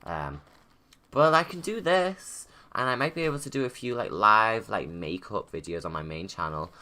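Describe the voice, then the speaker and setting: A man's voice: lowish voice, animated, moderate pace, pushed and bright. A man giving casual, informal livestream commentary, rambling and thinking aloud as he goes. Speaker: video creator giving commentary.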